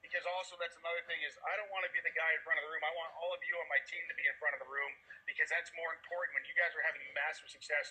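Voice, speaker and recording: Casting: fast-talking Boston man hosting a video call, upbeat and rambling. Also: on the high side, pushed and bright, rough recording. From Massachusetts, USA. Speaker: host introducing speakers on a video call. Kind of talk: enthusiastic motivational pitch.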